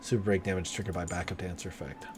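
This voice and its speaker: Low-voiced, excitable male game streamer. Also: rapid-fire. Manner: excitable, slangy, swears freely. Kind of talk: casual live-stream gameplay commentary. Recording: game music behind the voice. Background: video game music and sounds.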